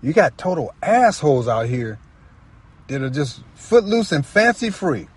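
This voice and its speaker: A man talking casually and reflectively as a guest storyteller, earnest and halting, often repeating phrases for emphasis. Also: a little high, big pitch swings, slightly rough. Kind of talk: casual, reflective personal talk.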